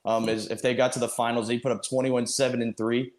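A man's voice: mid-range voice, little pitch movement, fast talker. A man giving casual sports analysis as a panelist, stringing clauses together with frequent ums. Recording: roomy, echoing sound.

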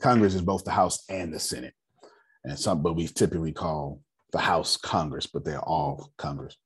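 A man in his forties with a raspy voice, hosting a casual group meeting and lecturing in a loose, talkative way. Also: rumbling low, moderate pace. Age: 40s. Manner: loose, talkative, laughing asides. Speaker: meeting host.

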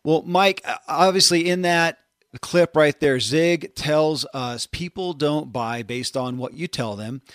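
A man hosting a podcast interview, upbeat and quick through promotional reads. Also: fairly high pitch, a bit bright, dry recording, clean studio sound. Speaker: podcast host.

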